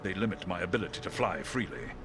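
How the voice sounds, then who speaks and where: Big noble eagle, very deep and formal, lively swings in tone, noisy game ambience. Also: male voice. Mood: grave, resolute. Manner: formal, stately, measured. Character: great noble talking eagle, grave and courteous. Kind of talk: video game cutscene acting.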